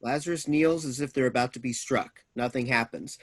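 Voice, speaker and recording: A man's voice: medium pitch, a little fast. A man reading stage directions as scripted narration.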